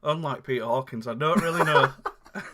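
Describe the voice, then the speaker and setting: A man's voice: a little high, a little fast, very noisy. A man, co-hosting a casual chat, rambling and laughing often. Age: 30s. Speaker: co-host.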